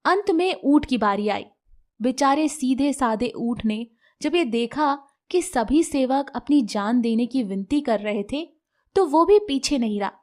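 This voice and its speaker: A female storyteller with a high-pitched voice reads a scripted children's fable. She narrates the animal tale as a moral story. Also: animated, measured pace.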